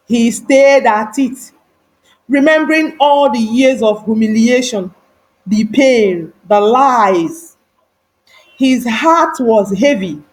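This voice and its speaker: Slow, high-voiced woman storyteller. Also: a little mellow, poor audio. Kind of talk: dramatic moral folktale narration.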